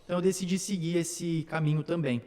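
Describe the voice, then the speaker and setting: A man's voice: fairly high pitch, fairly even intonation, fast, darkish tone. A young man, a council candidate born in Brazil whose first language is Slovak, earnestly telling his life story in detail during a casual interview. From born in São Paulo, raised in Slovakia and Brazil. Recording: long hall reverb.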